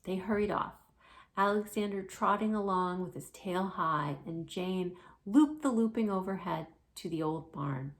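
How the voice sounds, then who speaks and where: A woman's voice: medium pitch, a little slow. A woman reading a children's storybook aloud as a librarian, narrating and explaining for young listeners.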